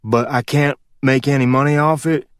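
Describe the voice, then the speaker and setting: A man voicing a slow-witted young man from who sells moonshine and answers politely. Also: medium pitch, clear, resonant tone, no echo, studio quality, lightly acted. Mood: dim. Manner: flat, dim, deferential.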